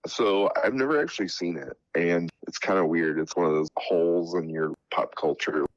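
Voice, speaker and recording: Low-voiced male co-host with a stuffy cold, laid-back, on a dry, muffled line. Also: moderate pace, no room sound. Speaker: podcast co-host. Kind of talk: casual pop culture chat. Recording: slightly dull dry audio.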